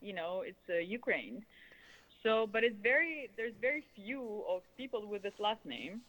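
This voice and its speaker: A woman in her thirties with a Russian accent, answering interview questions in loose, flowing, expressive phrases peppered with "you know". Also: medium pitch, normal speed, slight background noise, low-quality recording. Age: 30s. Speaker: guest, sound healer and musician.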